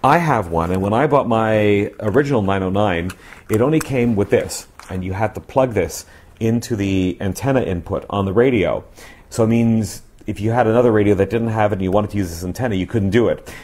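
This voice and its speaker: A man's voice: on the low side, fairly quick, a little mellow. A middle-aged man giving a casual, emphatic product review to camera, repeating phrases for stress, on a lapel mic in a living room. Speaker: radio reviewer. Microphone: clip-on lapel mic.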